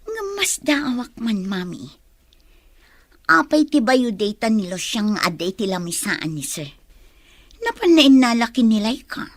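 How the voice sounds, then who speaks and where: Inquisitive Ilocano daughter, fairly high voice with lively swings, dry radio drama sound. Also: female voice, a little slow, long pauses, dry recording. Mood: puzzled, suspicious. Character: puzzled, inquisitive daughter of a worried mother.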